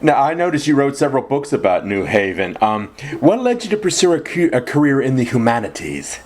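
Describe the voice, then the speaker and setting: A man hosting an interview show, giving brief acknowledgments and asking steady, prepared questions. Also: medium pitch, brisk. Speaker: podcast host.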